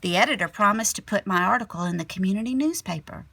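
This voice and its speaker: A woman teacher reading a children's picture book aloud expressively, sometimes dropping to a hushed tone. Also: medium pitch, fast, gravelly, dry recording. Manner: animated storyteller, drops to hushed whispery tones.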